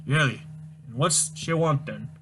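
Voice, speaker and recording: A man reading a comic aloud, voicing a gruff Scottish demolitions man with a low burr. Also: a little slow, over music, lightly acted.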